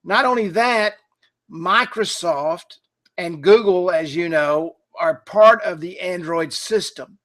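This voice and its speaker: A hoarse man, talking casually and rambling with fillers while he hosts a live online training from his desk. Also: high voice, slow pace, fairly bright tone. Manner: rambling, chatty, many fillers and restarts. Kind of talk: casual live webinar talk.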